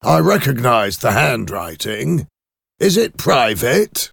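A man's voice: medium pitch, very animated, takes it slowly, creaky, fairly bright tone, breathy edge, no room sound, clean studio sound, voice pushed up, full character voice. A man voicing a deferential, observant head clerk in a storybook, polite and emphatic. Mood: polite, curious. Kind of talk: audiobook character acting.

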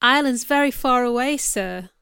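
A woman's voice: fairly high pitch, moderate pace, gravelly, sharp tone, clean tone, no room sound, clean studio sound. A woman voicing a passionate young governess in a storybook, hurt but defiant, speaking with emotional emphasis. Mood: dismayed.

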